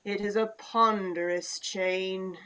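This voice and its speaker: A woman's voice: medium pitch, steady tone, slow pace, fairly bright tone, smooth. A woman playing the mournful, stern ghost of a dead moneylender in a theatrical radio play, declaiming in solemn laments. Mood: solemn, ominous.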